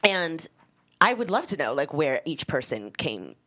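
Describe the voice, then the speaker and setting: A woman screenwriter being interviewed on a casual panel, talking in a halting, rambling, conversational way. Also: sits low, sing-song, bright, forceful tone. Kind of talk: casual panel chat about a script.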